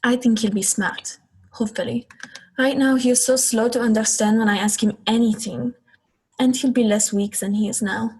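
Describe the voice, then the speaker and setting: A woman's voice: fairly high pitch, fairly even intonation, moderate pace, a little mellow, slightly rough, rough recording. A young woman playing a plaintive, questioning girl, an older sister, in a radio play. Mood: musing. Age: young adult.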